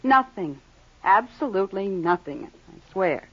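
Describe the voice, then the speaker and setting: An actress playing a frightened but defiant wife in an old-time radio crime drama, curt and on edge. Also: female voice, medium pitch, sing-song, unhurried, a bit bright, full character voice. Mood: edgy, evasive. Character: tense, defiant, frightened wife being blackmailed. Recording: dull lo-fi old radio recording.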